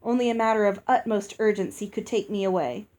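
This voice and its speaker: A woman's voice: mid-range voice, brisk, darkish tone, close to an everyday voice. A woman voicing a gallant male knight in a fairy tale, speaking with courteous, polite phrasing. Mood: reassuring.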